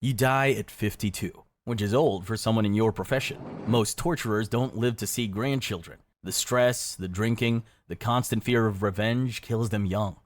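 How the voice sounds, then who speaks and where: A man reading dramatic scripted second-person narration in a grave, measured storytelling manner. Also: fairly low pitch. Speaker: video narrator. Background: background music.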